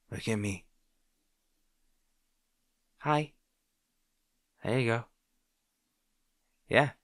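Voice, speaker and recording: A low-pitched male supervillain rival acted by a man in an intimate audio roleplay. He is sarcastic and exasperated, yet softly protective, with halting delivery. The sound is dry and close. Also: no room sound. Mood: reassuring, gentle. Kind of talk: intimate audio roleplay acting addressed to the listener.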